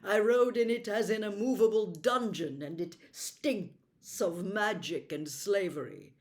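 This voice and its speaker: Lady reader as a valiant prince, courtly old-time wording, loud and declamatory, storybook style. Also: mid-range voice, measured pace, natural voice. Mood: resolute. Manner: declamatory, chivalrous, formal. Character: noble, valiant young prince with archaic courtly speech. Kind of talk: audiobook character voice.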